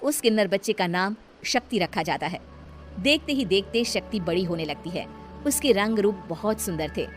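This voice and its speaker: A woman storyteller reading scripted narration for an animated tale. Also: mid-range voice, moderate pace. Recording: music behind the voice.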